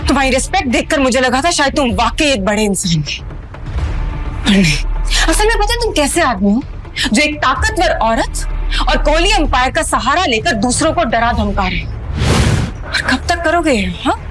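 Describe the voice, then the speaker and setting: A woman's voice: sits high, big pitch swings, normal speed, a bit bright, faint music behind, rough recording. A woman playing a proud, scornful CEO and betrayed ex-wife in a Hindi audio drama, indignant and cutting. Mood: accusing.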